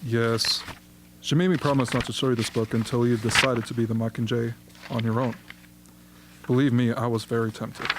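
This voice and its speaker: A man playing a genial, flattering propaganda chief in a radio play, chatty and smoothly persuasive. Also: mid-range voice, moderate pace, noisy background, close to an everyday voice. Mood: eager. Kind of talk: amateur radio drama acting.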